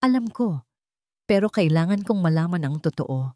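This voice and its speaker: A woman's voice: fairly low pitch, animated, normal speed, warm tone, no echo, clean studio sound, lightly acted. A woman with a Filipino accent voicing a frightened but determined wife in a drama story, nervous and hesitant. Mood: anxious resolve.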